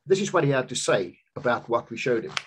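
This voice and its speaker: A man's voice: animated, fast, breathy edge. A man in his fifties, a business coach, giving an earnest seminar talk over slides on a video call from a home office. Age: 50s. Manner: earnest, personal storytelling pitch with emphatic rises. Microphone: video call webcam.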